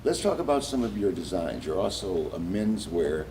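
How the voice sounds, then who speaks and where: A middle-aged man hosting an interview, lively and gossipy in how he asks questions. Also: sits low, moderate pace, slight background noise, low-quality recording. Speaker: host. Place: large bright studio loft indoors.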